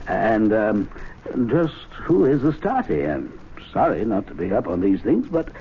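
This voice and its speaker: An actor playing a fussy, credulous gentleman in a radio drama, earnest and hesitant in manner. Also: fairly low pitch, sing-song, measured pace, creaky, slight background noise, exaggerated voice. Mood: hesitant, curious. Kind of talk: 1970s radio drama acting. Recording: tinny, narrow old radio broadcast.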